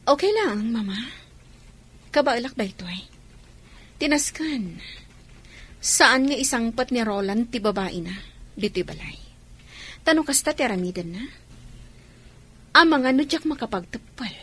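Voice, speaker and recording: A woman's voice: a little high, lively intonation, unhurried, pauses often, a bit bright, slightly rough, heavily acted. A woman playing a resigned, long-suffering wife and mother in an Ilocano audio drama, with an Ilocano Filipino accent. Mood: hurt.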